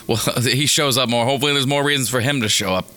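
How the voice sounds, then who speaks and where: A hoarse man with a raspy voice, chatting casually as a podcast co-host, rambling and joking with many restarts. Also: mid-range voice, quick pace, background noise. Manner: rambling, jokey, restarts a lot. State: hoarse from singing. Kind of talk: casual chat.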